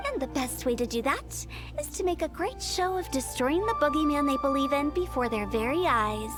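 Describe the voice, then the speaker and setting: A woman's voice: high-pitched, expressive, normal speed. A woman voicing a playful young female funeral parlor director in a video game, breezy and teasing as she explains things. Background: game music.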